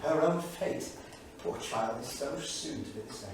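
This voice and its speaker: A man's voice: medium pitch, lively intonation, measured pace, lightly acted. A man playing a bitter, grieving 1938 academic, reading his journal aloud in a solemn, literary, emphatic way on stage. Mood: grieving, reflective. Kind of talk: staged dramatic reading of diary entries. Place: small studio theatre, staged reading. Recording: echoey theatre, distant mic, faint noise.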